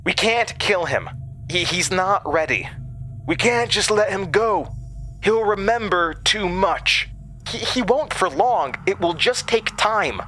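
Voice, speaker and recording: A man's voice: high-pitched, animated, takes it slowly, bright, forceful tone, faint music behind, voice pushed up, full character voice. A man voicing a frightened young woman in a horror audio drama, pleading and stammering in a strained, gasping voice. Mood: urgent pleading. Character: frightened, pleading young female camp accomplice, desperate.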